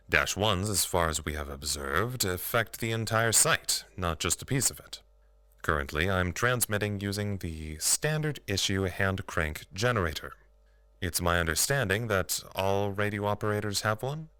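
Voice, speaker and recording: An actor playing an earnest, chatty facility radio operator, giving a rambling, matter-of-fact log in an audio drama. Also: male voice, sits low, bright, forceful tone, no echo. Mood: calm, explanatory.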